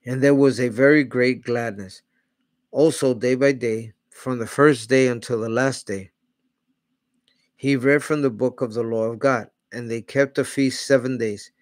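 A man's voice: medium pitch, unhurried, no room sound. Middle-aged man reading scripture aloud. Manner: steady reading with slips and self-corrections on names. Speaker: Bible reader. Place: small home studio, indoors. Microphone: large studio mic on a desk arm.

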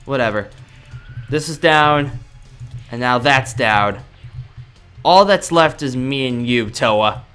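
A young man improvising in-character gameplay roleplay in a cocky, dramatic, excitable manner. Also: mid-range voice, slow pace, long pauses, smooth, soft background music. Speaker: gaming roleplay video creator.

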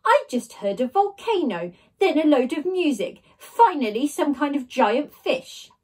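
A woman reading a storybook aloud, voicing a furious, bossy girl who shouts threats. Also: high-pitched, big pitch swings, measured pace, voice pushed up. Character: furious bossy bellowing older sister, a girl.

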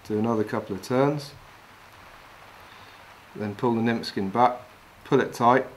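A middle-aged man from England calmly talking through each step of tying a fishing fly while he works with his hands. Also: medium pitch, a little slow, slight background noise, low-quality recording. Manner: calm, practical, talks while working with his hands. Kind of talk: step-by-step demonstration. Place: wooden lodge room, indoors.

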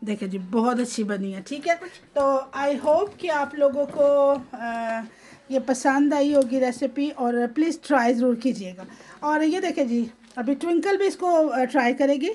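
A woman's voice: sits high, measured pace, a little mellow. A woman from Pakistan in her thirties hosting a home cooking video, talking casually and mixing English words into her speech with frequent check-ins to viewers. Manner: chatty, mixes English into Urdu, frequent "theek hai ji" check-ins. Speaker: cooking channel host. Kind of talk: cooking tutorial voice-over. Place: home kitchen.

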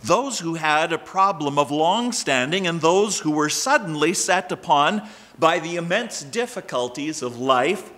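Older male pastor, slow deliberate delivery, bright tone, pulpit mic in a church sanctuary. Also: on the high side. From Canada. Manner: measured, expressive preaching with emphatic rises. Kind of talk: sermon.

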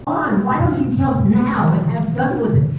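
A woman's voice: lively intonation, normal speed, natural voice. A woman reading as a cold, menacing housekeeper. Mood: taunting, menacing. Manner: low, insinuating, taunting. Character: cold menacing female housekeeper, obsessive and cruel. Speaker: reader in an amateur theater group. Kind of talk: 1930s radio drama reading. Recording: echoey tinny hall recording from far off, with noise.

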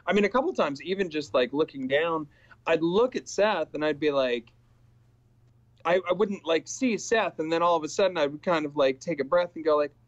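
A man in his forties, an actor guest on a video call, telling set stories with lively acted-out quotes. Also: a little high, moderate pace. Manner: animated storytelling, acts out quoted exchanges. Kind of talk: casual interview over video call. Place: small indoor room with purple backlight. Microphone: webcam video call. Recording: slightly dull lo-fi video call audio.

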